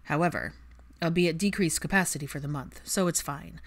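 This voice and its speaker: A woman voicing a grim, unapologetic man in audiobook dialogue, with a deadpan, clipped drawl. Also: low-pitched, brisk, creaky. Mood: matter-of-fact.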